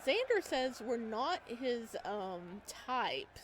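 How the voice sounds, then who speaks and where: A woman's voice: on the high side, very animated, extremely slow. Woman narrating a game, noisy background. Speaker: host reading a visual novel aloud. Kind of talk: let's play narration with casual asides.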